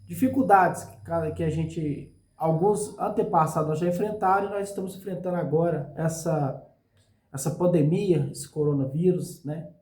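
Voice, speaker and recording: A male pastor preaching a sermon for his online channel, hoarse from a cold, in an earnest and unhurried way. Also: on the high side, mellow, roomy sound. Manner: earnest, slow preaching with frequent "meus irmãos".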